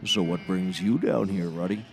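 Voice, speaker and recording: A man's voice: deep voice, normal speed, vocal fry, soft background music. An actor playing a folksy, rambling, sardonic livestock auctioneer in a scripted audio comedy, wordy and droll in his delivery. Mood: amiable.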